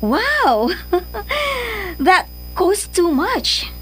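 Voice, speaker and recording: A woman's voice: very high-pitched, sing-song, drawn-out words, fairly bright tone, soft background music, exaggerated voice, low-quality recording. An actress playing a doting but guarded mother of a grown daughter in a radio drama, with a Filipino accent. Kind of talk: Philippine radio drama acting.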